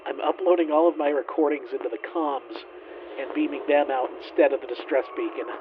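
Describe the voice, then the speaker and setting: Through a dull, radio-filtered recording with clear background noise comes a man acting as a worn-out spaceship engineer, the last survivor of his crew. He speaks haltingly, with sardonic, sweary resignation, as if logging entries in a sci-fi audio drama. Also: on the high side, fairly even intonation. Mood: frightened, resigned. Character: weary male spaceship flight engineer, doomed lone survivor. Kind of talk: science fiction audio drama log recording. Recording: noisy, band-limited radio-style log recording.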